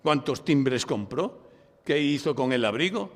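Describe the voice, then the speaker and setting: Radio drama defence attorney: Spanish from Spain, declamatory, insistent, very varied intonation, live hall reverb. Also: male voice, medium pitch, lively intonation, measured pace. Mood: insistent, confident. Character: theatrical male defence lawyer narrating his own courtroom case. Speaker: narrator and lead actor in an audio drama. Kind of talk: courtroom radio drama acting with first-person narration. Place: public library, before a live audience.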